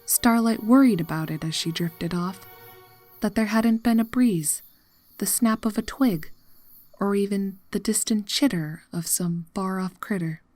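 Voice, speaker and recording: A woman's voice: medium pitch, measured pace, darkish tone, soft background music. A woman narrating scripted horror fiction as a storyteller.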